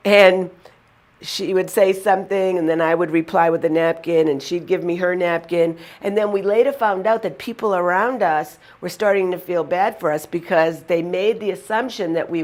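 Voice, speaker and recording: A woman with a Boston accent tells her stories with a chatty, amused energy. Her voice sits a little low for a woman, and she talks straight to the camera. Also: darkish tone. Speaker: vlogger. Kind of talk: casual storytelling to camera.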